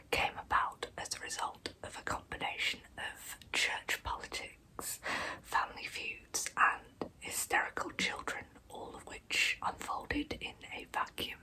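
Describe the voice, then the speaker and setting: A woman whispering breathily and deliberately as she hosts and narrates a true-crime story in ASMR style. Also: poor audio. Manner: soft, deliberate, slow delivery. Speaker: ASMR video host and narrator.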